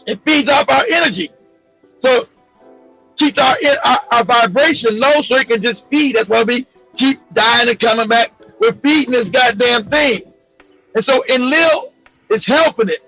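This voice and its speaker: High husky man, excitable talk-show host, dull dry audio. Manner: profane, excitable, laughs often. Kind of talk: casual podcast talk.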